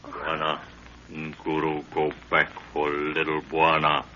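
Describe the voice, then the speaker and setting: A man's voice: a bass voice, monotone, slow pace, pushed and bright, exaggerated voice. A man playing a loyal, stoic African guide who speaks broken pidgin English in short phrases, in 1930s radio acting style. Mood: dutiful. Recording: dull, noisy 1930s radio recording.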